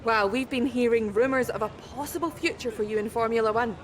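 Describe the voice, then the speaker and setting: A woman's voice: a little high, fast talker, close to an everyday voice. A woman playing a polite, professional motorsport reporter doing probing interviews in a video game cutscene. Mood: sympathetic, probing.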